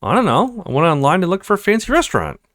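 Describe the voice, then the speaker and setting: A man's voice: sits high, huge pitch swings, fast, a bit bright, no echo, clean studio sound, pitched above the performer's own voice, exaggerated voice. A man voicing a naive, flustered young woman in a read-aloud of a video game.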